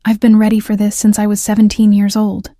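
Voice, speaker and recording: A woman's voice: mid-range voice, completely flat delivery, brisk, warm tone, no echo, studio quality, natural voice. A woman voicing a steely young avenger. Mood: determined. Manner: firm and cutting. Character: determined young woman seeking revenge, steely.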